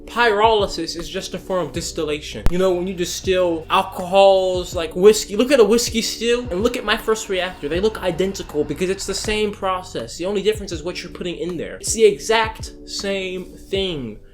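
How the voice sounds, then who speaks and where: A young man hosting a reaction video, talking in a brash, excitable way and swearing freely as he explains what he is watching. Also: high voice, moderate pace, hardly any pauses, soft background music. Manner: excitable and brash, swears freely, jumps between loud and soft. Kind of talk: casual reaction commentary.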